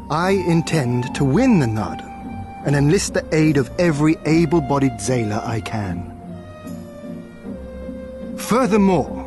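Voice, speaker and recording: An actor playing a wry, resolute young samurai lord in a fantasy game, with formal, archaic, courtly speech. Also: male voice, fairly high pitch. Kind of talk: fantasy game cutscene acting.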